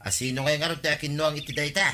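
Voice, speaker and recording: An actor playing a teasing, impulsive village neighbour in an Ilocano radio comedy drama, with lots of exclamations. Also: male voice, on the high side, fairly even intonation, very fast, noisy background, natural voice.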